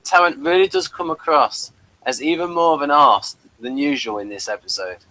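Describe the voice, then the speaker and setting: A man's voice: a little high, normal speed, bright, forceful tone, no room sound, rough recording. A man co-hosting a casual review chat. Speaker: podcast co-host reviewing TV episodes.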